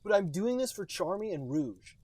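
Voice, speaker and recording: Man voicing a high, combative teenage cartoon fighter. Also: big pitch swings, normal speed, a little mellow, no room sound. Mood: defiant.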